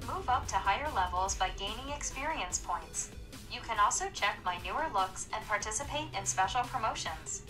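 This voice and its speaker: A woman's voice: medium pitch, expressive, normal speed, over music. A computer-generated woman's voice giving friendly, scripted voice-assistant prompts. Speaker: phone voice assistant.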